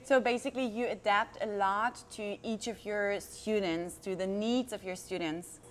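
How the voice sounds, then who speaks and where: A woman's voice: mid-range voice, steady tone, normal speed, slight background noise. A woman interviewing guests, asking curious questions and rephrasing them as she goes.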